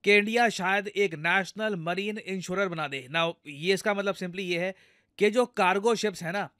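High-pitched, clear Indian man explaining news. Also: moderate pace, pushed and bright, no echo, studio quality. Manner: conversational, explains step by step, addresses viewers directly. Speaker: news commentator, video host.

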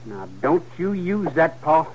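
Young cowboy kid, old radio western, country american drawl, earnest n defiant, voice swings around a lot. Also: male voice, a little high, sing-song, measured pace, highs cut off, background noise. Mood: defiant, upset. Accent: American rural Western. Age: young adult. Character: defiant, earnest frontier teenage son. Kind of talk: 1950s radio western acting. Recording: old 1950s radio recording.

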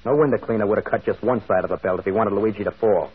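A bitter, fast-talking young prisoner. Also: male voice, lowish voice, slightly rough. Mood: defensive. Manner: blunt, defensive. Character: bitter, hot-tempered young working-class male prisoner. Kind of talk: old radio drama acting. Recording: tinny, band-limited old radio broadcast.

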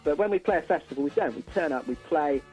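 A middle-aged male musician chatting casually as an interview guest, halting and repeating words for emphasis. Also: fairly high pitch, lively intonation, darkish tone, lo-fi sound, faint music behind.

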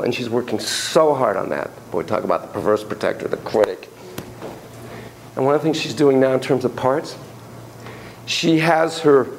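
Older male lecturer, gravelly, animated and chatty; recorded in a classroom from the back of the room, with faint room noise. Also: mid-range voice, low-quality recording. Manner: animated, chatty, quoting clients in a raised voice. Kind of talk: university lecture. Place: classroom with students. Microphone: far camera at the back of the room.

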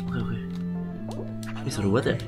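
A man's voice: mid-range voice, brisk. A young man with an Indonesian accent chatting casually as a co-commentator on a live game stream. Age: young adult. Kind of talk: casual watch-along chat. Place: indoor streaming setup. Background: clear music in the background.

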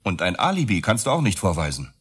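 A man's voice: deep voice, very animated, fast. An actor playing a bitter, cornered, sarcastic man accused of murder in a radio drama. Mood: sarcastic, accusing. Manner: sarcastic, accusatory, rhetorical questions. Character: Max, accused architect, bitter, volatile, cornered lover. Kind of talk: German radio drama acting.

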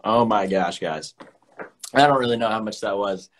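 A man's voice: on the low side, moderate pace. A young man hosting a casual phone livestream, chatty and playful, mixing English and Tagalog. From United States. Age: young adult. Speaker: livestream host. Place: small room indoors. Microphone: phone at arm's length.

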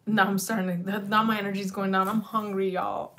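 A woman in her thirties chatting loosely on a beauty livestream, swearing freely, dropping in Spanish words, her slightly raspy voice going in and out. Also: medium pitch, level tone, a little fast, noisy background. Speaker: beauty vlogger. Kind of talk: rambling casual livestream chat. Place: small home beauty room, indoors.

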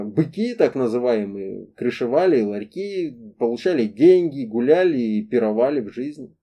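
Very mellow, dark-voiced man lecturing expressively. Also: a little high. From former Soviet country, moved to Russia as a child. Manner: didactic, emphatic, with rhetorical questions and repetition. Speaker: host of a pedagogy talk series. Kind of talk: explanatory monologue to camera. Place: indoor room against a plain wall.

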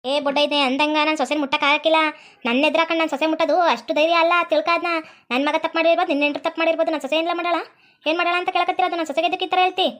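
Woman playing a shrill old village mother-in-law. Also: steady tone, fairly bright tone. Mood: scolding. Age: older. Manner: shrill, rapid, scolding. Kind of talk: comedy skit acting.

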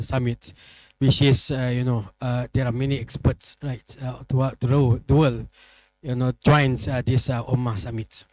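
A middle-aged man from Malaysia, a professor answering interview questions, speaking haltingly and restarting his phrases. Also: mid-range voice, darkish tone, dry recording, low-quality recording. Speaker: guest professor. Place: studio set.

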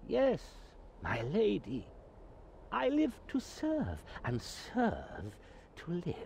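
An obsequious poisoner from a fantasy game. He speaks very deliberately, with a gravelly, breathy croak that slides up and down dramatically. There is faint noise behind him. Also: high-pitched, wildly swooping pitch, a little mellow, exaggerated voice. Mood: fawning. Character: sly, servile poisoner and envoy, male. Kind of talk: video game villain acting.

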